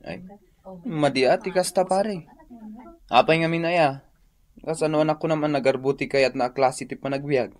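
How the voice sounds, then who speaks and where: A man's voice: a little high, fairly even intonation, normal speed, pauses often, close to an everyday voice. A man playing a concerned, inquisitive friend in an Ilocano radio comedy drama, probing with questions in an Ilocano accent. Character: concerned male buddy, inquisitive and sympathetic.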